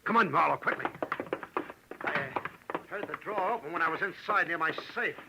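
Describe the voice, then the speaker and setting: An actor playing a shifty, nervy man feigning innocence in a 1940s style radio drama, halting and defensive. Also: on the high side, vocal fry. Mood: agitated. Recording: thin, noisy old radio recording.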